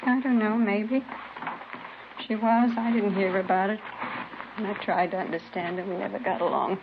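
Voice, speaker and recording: A weary middle-aged working-class mother, played by a radio actress, speaks with bitter, plaintive resignation at an ordinary female pitch. It is vintage radio drama, muffled and thick with noise. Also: fairly even intonation, normal speed, close to an everyday voice. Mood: weary, ashamed. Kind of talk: 1950s radio drama acting.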